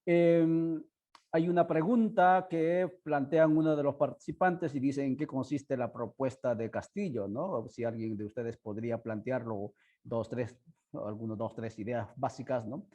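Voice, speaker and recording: A man who speaks Spanish as a second language, hosting an online discussion in a halting, informal way, with many fillers and tag questions. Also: medium pitch, mellow, dull audio. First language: not Spanish. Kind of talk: informal spoken commentary to an online audience. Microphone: headset on a video call.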